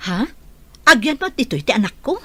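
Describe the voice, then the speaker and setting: A woman's voice: a little high, sing-song, fast, pushed and bright, husky, no room sound, exaggerated voice. A woman playing a concerned, earnest young mother in an audio drama.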